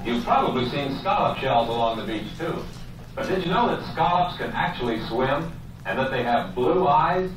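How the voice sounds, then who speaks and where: A man's voice: medium pitch, animated, moderate pace. Echoey, distant male educational narrator. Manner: explanatory, addresses the viewer directly. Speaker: narrator of an educational nature film. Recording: echoey, distant, music behind.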